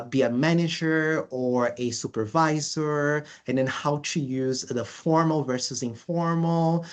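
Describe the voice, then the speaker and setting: Brazilian-accented presenter, steady middle pitch. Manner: halting, many filler uhs and restarts. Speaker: language school presenter. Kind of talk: informational webinar presentation.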